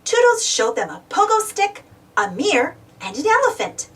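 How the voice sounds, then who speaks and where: A woman reading a children's storybook aloud in an animated narration style. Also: very high voice, moderate pace. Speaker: storybook reader. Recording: slightly distant mic, music bed. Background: music.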